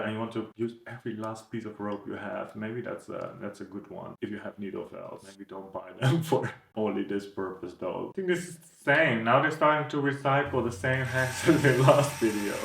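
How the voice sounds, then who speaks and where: A man's voice: lowish voice, vocal fry, slight background noise. A man giving casual, wry reaction commentary as a channel host, musing in a loose conversational way. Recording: roomy echo, music behind.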